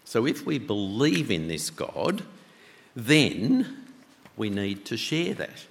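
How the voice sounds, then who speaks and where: A man preaching a sermon with a loud voice, talking conversationally and asking the congregation many questions. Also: lowish voice, sing-song, takes it slowly, gravelly. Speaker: pastor. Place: church. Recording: long church reverb.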